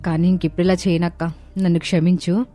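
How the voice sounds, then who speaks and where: A woman playing low-voiced, earnest Indian family woman. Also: little pitch movement, brisk, mellow, lightly acted. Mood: apologetic. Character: earnest young Indian daughter-in-law and her scolding household women. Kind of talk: dramatized family moral story dialogue. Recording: music behind the voice.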